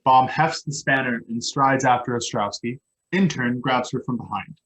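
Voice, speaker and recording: A reader of unclear sex giving scripted stage directions at a play table read, with a husky voice. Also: mid-range voice, normal speed, a bit bright, rough recording.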